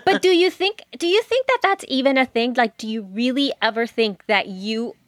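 A woman's voice: on the high side, lively intonation, normal speed, no echo. Dry-sounding close woman's voice, forties. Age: 40s. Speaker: podcast co-host. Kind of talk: casual, reflective chat.

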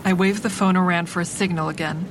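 Actress as calculating woman narrator, lowish voice, wry, matter-of-fact, scripted drama, faint rumble behind. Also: steady tone, fast, natural voice. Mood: controlled, observant. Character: cool, calculating young woman narrating her own manipulations.

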